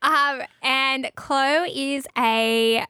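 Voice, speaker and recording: A woman's voice: steady tone, drawn-out words, sharp tone, smooth, no echo. A woman, hosting a casual podcast chat in a radio studio and laughing often. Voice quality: high-pitched. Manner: bubbly and chatty, laughs often. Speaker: podcast host.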